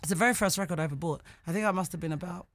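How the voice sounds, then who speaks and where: A woman singer talks openly in a radio studio, her speech chatty and straightforward. Laughter comes easily to her. Her talk is relaxed and conversational, recorded close on a studio microphone. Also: on the low side, very fast, no echo. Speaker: guest singer. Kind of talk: casual radio interview chat.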